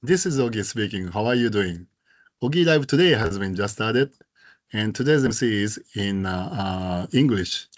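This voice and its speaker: Halting Japanese-accented man hosting. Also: fairly low pitch, animated. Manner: halting, filler-heavy speech. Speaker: host. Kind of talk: hosting an online presentation meeting.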